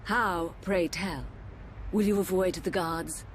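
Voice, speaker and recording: A haughty royal villainess from a fantasy game. She draws her words out very slowly in a lowish, disdainful, imperious voice, with faint ambience behind her. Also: slight background noise. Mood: cold, menacing. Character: haughty, scheming royal consort, cold villainess. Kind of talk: video game cutscene acting.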